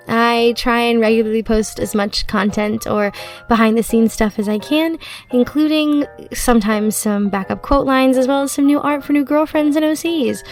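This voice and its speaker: A woman's voice: on the high side, darkish tone. A woman hosting her channel, talking casually and directly to listeners in a chatty, upbeat way. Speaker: channel host, audio roleplay performer.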